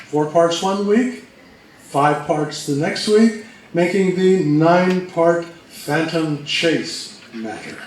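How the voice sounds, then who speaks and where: A man co-presenting an informal history talk, explaining things in a measured, step-by-step way. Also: fairly high pitch, animated. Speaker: co-presenter at a fan convention talk. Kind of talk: informal lecture on old radio history. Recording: very echoey room, distant mic, faint noise.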